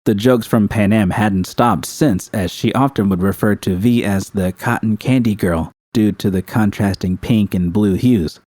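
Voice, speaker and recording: Male audiobook narrator for fiction. Lower register, dark soft tone, dry sound. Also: moderate pace, few pauses, no echo. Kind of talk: scripted narration.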